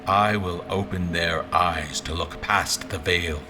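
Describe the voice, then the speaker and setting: An actor playing a grandiose, messianic zealot commander who speaks and narrates solemnly in a dramatic audio fiction. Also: male voice, low voice, fairly even intonation, over music. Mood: grave, persuasive. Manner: solemn, deliberate, messianic speechmaking. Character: grandiose zealot commander, a self-proclaimed visionary leader.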